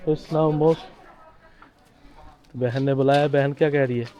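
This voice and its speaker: Young male travel vlogger, mid pitch, casual walk-and-talk delivery, recorded outdoors on a handheld camera. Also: fairly even intonation, unhurried, dark tone, lo-fi sound. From Pakistan. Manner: chatty and conversational, pointing things out as he walks. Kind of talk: casual walking tour commentary. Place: outdoors in narrow old-town streets.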